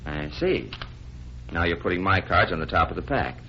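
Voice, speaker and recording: Animated 1940s radio sidekick, muffled sound. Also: male voice, low voice, normal speed, gravelly, a little hiss or hum, full character voice. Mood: curious. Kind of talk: 1940s radio acting and announcing. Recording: muffled vintage radio with music.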